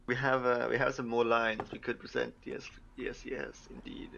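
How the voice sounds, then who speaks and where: Bright, low-pitched man on a dull mic. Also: flat delivery, normal speed, gravelly. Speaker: game writer on a development team stream. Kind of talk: casual live stream chat. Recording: dull lo-fi mic, faint typing noise.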